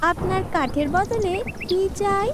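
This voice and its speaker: A very high-pitched, whiny cartoon crow wife, played by a woman. She is lazy, bossy and always looking out for herself. The recording has clear background noise. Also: a little slow. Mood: calculating. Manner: whining, bossy, self-serving. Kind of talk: children's cartoon fairy-tale acting.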